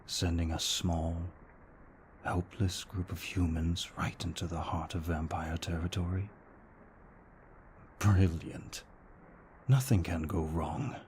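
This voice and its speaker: A man acting as a young vampire aristocrat, courteous and protective, murmurs in a very low register. He takes his time with each formal, measured phrase, keeping the melody flat, in an intimate ASMR roleplay with faint noise behind him. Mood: dryly sarcastic. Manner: formal, polite, hushed and measured. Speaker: voice actor in an audio roleplay.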